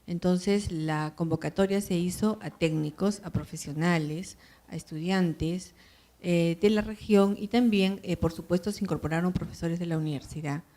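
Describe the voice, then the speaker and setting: A woman's voice: on the low side, dark tone. A woman answering questions on a panel, hesitant, with many fillers and tag questions. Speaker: panelist, university program organizer.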